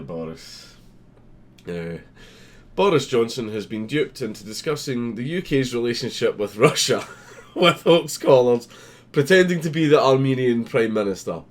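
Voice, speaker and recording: A man's voice: medium pitch, a little mellow. A man giving casual commentary as he reads a news article aloud, swearing freely in an amused, sardonic manner. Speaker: host.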